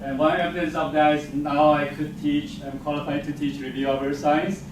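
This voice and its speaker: A man's voice: fairly high pitch, little pitch movement, moderate pace. Echoey Taiwan-born man, English. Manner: non-native grammar, self-deprecating, chuckles often. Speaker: geophysics professor. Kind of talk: casual faculty talk. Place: lecture hall. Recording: very echoey hall, far-off mic, noisy.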